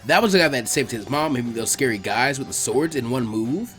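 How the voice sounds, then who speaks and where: Male narrator, lively pitch swings, quick pace, scripted fan fiction reading, faint music.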